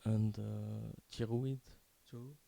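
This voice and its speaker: A man in his twenties from Morocco tells a personal story unscripted, in a halting, candid, casually sweary way. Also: lowish voice, little pitch movement, creaky, mellow. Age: 20s. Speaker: video creator telling his life story.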